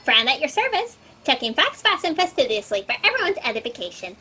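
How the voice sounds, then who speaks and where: A woman voicing a flustered, literal-minded fact checker creature with fussy, halting delivery in a sci-fi satire audio drama. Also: high-pitched, very animated. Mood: fussy, eager. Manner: halting note-to-self speech, fussy, pedantic. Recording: noisy home video-call audio.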